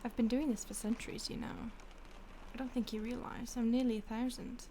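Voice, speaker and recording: A woman playing an ancient, detached vampire stalker in an intimate audio roleplay, with a breathy voice that often drops to a whisper and a languid, teasing manner. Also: on the high side, level tone, fairly quick, dark tone, a little hiss or hum, natural voice. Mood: musing, detached. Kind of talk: intimate audio roleplay, ASMR-like acting addressed to the listener.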